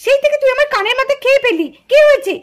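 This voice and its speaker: A woman's voice: extremely high pitch, very fast. A woman voicing a cheeky, defiant little girl who wheedles and fibs, in a puppet doll cartoon. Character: cheeky, lying little girl, the spoiled younger sister.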